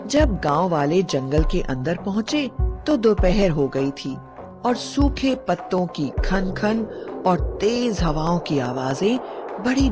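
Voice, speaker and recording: A woman narrating a scripted horror story for children as a storyteller. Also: medium pitch. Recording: clear music bed under the narration. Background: background music.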